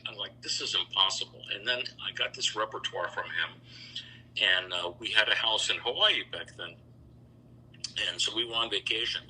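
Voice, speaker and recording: A middle-aged man telling stories over a video call, relaxed and rambling with lots of fillers. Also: medium pitch, a little hiss or hum, low-quality recording. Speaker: interview guest, founder of a choral institute. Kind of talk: casual storytelling interview.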